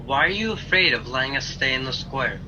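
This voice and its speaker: A man's voice: medium pitch, lively intonation, a little fast, dull audio, close to an everyday voice. A man playing a frank, awkward, naive young angel in a radio play. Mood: curious, awkward. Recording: faintly noisy home video-call recording.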